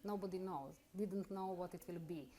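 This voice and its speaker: A middle-aged woman with a Bosnian accent, carefully telling her own story in halting English during an interview. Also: on the low side, warm tone. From Bosnia and Herzegovina. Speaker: interviewee, lawyer. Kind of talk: personal account in an interview.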